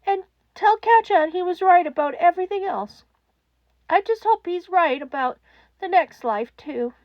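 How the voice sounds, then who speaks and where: A fragile young boy, as a woman acts him out for an audiobook, timid and despairing. The voice is high and soft, cracking as it stammers, with very lively swings of pitch in a close, dry recording. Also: no room sound, muffled recording. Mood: shaky, resigned. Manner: halting, stammering. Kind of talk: audiobook character acting.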